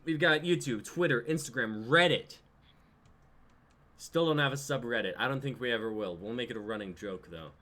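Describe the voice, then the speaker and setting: Young man, bright forceful voice, casual host chat, stream, faint background noise. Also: medium pitch, normal speed. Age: 20s. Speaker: host of a live-streamed reading group. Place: online live stream.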